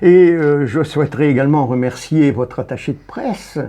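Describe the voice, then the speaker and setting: A man's voice: a little high, normal speed, dark tone. A male radio host leading an interview, thanking guests and asking questions with frequent filler words.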